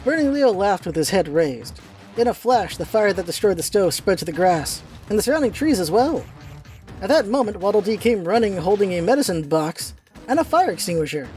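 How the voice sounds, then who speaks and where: A woman narrates a radio play from a script. Her voice is soft-edged and mellow at an average pitch, in a storybook reading style. Faint music runs behind her. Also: sing-song, brisk.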